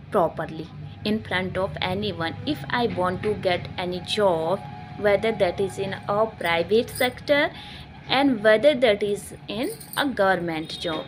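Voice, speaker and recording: Slow, earnest woman. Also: mid-range voice, noisy background. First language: Hindi. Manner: earnest and halting, peppered with "okay". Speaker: English-learning channel host. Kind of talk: casual vlog talk to camera.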